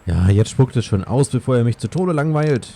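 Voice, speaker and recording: A man's voice: medium pitch, lively intonation, a little fast, vocal fry, dark tone, a little hiss or hum. A native German man giving casual let's play commentary, reading dialogue choices aloud. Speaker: gaming let's player.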